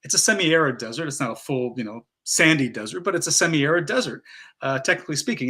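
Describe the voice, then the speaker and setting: A man moderating an interview, putting wordy, carefully phrased questions in a formal, measured style. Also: fairly high pitch, a bit bright, husky.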